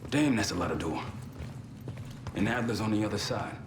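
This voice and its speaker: An actor playing a tough, wry special-ops field agent in a video game, talking in a blunt, clipped way. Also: male voice, on the low side, expressive, normal speed, noisy background, lightly acted. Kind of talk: video game cutscene acting. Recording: dialogue under loud action score.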